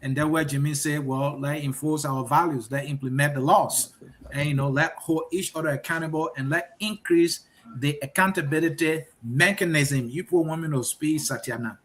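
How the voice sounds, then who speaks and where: A man moderating a debate in a bright, somewhat high voice. He keeps things moving and directs who speaks next. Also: slight background noise. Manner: brisk, directing turns.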